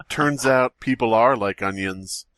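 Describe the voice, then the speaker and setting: A man's voice: medium pitch, slow, fairly bright tone, no echo. A man co-hosting a comedy podcast, reading a silly horror story aloud with mock-dramatic flair. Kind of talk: casual comedic podcast chat.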